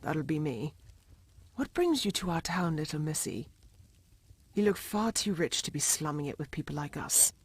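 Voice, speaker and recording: A woman playing a sly, folksy tavern madame with a gravelly rasp in a video game. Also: deep voice, animated, moderate pace. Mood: sly, welcoming. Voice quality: very raspy, creaky.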